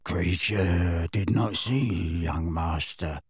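Ancient male house elf: bottom-of-the-range bullfrog croak, malevolent, dragging mutter for a storybook. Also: no echo, clean studio sound, exaggerated voice. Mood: feigned servility. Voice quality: hoarse, deep, bullfrog-like croak. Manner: muttering under his breath, slow and doggedly resentful. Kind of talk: audiobook character voice.

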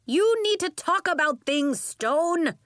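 A woman's voice: medium pitch, sing-song, a little slow, fairly bright tone, no room sound, clean studio sound. A woman voicing a brash, outspoken, vibrant aunt in a story, with a blunt, breezy, exclamatory way of talking. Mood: exasperated.

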